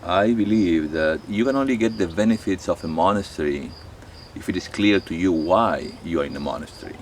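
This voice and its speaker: A middle-aged man with an Argentine Spanish accent, a monk speaking in a halting, thoughtful way and often pausing to search for words. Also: low voice, slight background noise. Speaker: monk, abbot of a monastery. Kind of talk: reflective spoken talk to camera.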